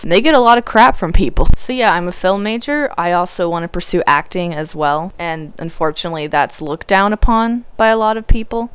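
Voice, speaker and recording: A nineteen-year-old woman talks candidly to the camera in a casual vlog, laughing now and then. Her voice is smooth and clear, sitting a little low for a woman. The mic is close and dry, with almost no room sound. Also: dry recording. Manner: candid, confessional, laughs often. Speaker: YouTuber, film student. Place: small room, poorly lit.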